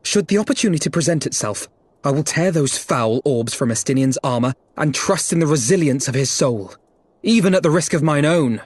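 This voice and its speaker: A man voicing an earnest, noble young gentleman in a game cutscene, speaking formally with archaic phrasing. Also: on the high side, lively intonation, a little fast, breathy edge, no room sound. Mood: determined. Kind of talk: video game cutscene acting.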